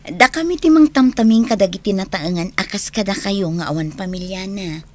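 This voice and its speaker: A woman's voice: medium pitch, brisk, close to an everyday voice. A woman playing a kindly, reassuring young social worker in a radio drama. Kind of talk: radio drama acting in Ilocano.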